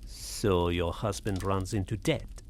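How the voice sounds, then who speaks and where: An actor playing a polite, sceptical French gentleman in a radio drama, courteous and questioning. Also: low voice, steady tone, takes it slowly. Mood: incredulous questioning.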